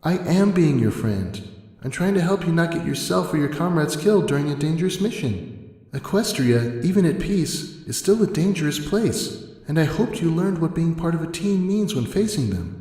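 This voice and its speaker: A man voicing an earnest, caring but firm female pony princess who reasons in a measured way, in a dramatic story reading. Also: fairly high pitch, very animated, mellow, echoey room, big-room sound, voice pushed up. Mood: earnest, concerned. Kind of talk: dramatic fan fiction reading.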